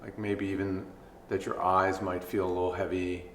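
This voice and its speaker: Man, deep voice, mindfulness instructor, guided meditation, gentle, pausing between phrases, faint background noise. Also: flat delivery, echoey room. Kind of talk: guided body scan meditation.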